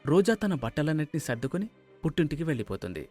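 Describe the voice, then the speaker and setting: A man's voice: a little high, big pitch swings, rapid-fire, darkish tone, faint music behind. A man narrating a scripted moral story.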